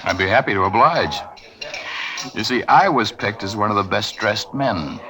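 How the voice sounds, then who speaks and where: An actor playing a cynical, well-dressed gentleman, dry and formally teasing, in a 1940s radio drama. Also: fairly low pitch, expressive, moderate pace, a crowd in the background, lightly acted. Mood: wry, teasing. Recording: noisy old radio broadcast.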